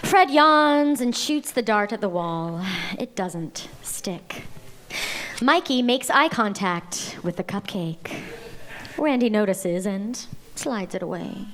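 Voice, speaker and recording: Unhurried woman reading stage directions. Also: medium pitch, animated, a bit bright. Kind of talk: live table read narration. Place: live venue.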